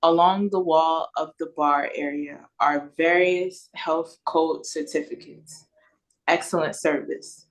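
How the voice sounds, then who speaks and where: A woman's voice: low-pitched, steady tone, slow pace, poor audio. A woman with an American accent reading stage directions as narrator at an audio play read-through. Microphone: video call.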